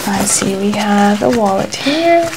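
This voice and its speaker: A soft-spoken woman in an ASMR role-play as an airport security agent, polite, procedural and reassuring. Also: medium pitch, lively intonation, unhurried, heavy background noise.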